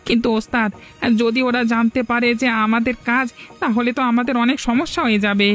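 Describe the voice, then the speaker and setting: A worried cartoon henchman played by a man with an extremely high voice. He is deferential and questioning, and light music plays behind him. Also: fairly even intonation, fairly quick, a bit bright. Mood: anxious. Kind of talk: cartoon dialogue. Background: background music.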